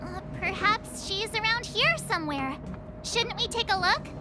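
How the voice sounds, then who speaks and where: A woman's voice: extremely high pitch, lively intonation, a little slow, noisy background. A woman playing a friendly teenage girl adventurer in a video game. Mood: helpful, curious. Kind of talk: video game story voice acting.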